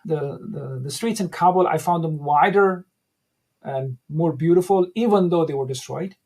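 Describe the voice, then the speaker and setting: A middle-aged man from Afghanistan, speaking as an interview guest, recalling his past in a measured, reflective way with frequent restarts. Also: on the high side, darkish tone. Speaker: guest, academic center director. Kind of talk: interview, personal recollection.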